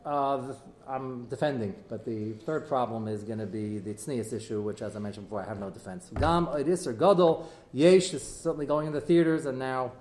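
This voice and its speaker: A man teaching a Torah class in a lively way, reading out Hebrew texts and explaining them to students. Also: medium pitch, fairly quick, reverberant, a little hiss or hum. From United States.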